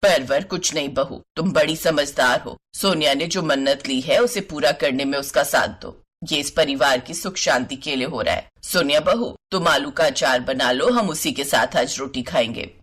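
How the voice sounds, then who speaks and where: Woman voicing a low-pitched, firm mother-in-law. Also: normal speed, few pauses, fairly bright tone, slightly rough. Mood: firm, approving. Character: firm, approving older mother-in-law of an Indian household. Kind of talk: animated story character voice.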